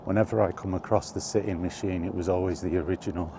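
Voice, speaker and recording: A middle-aged man chatting casually and reminiscing in a halting, thinking-aloud way, in short rambling phrases. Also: sits low, fairly even intonation, vocal fry, background noise. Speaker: video creator and host. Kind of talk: casual reminiscing monologue about retro games. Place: outdoors while walking.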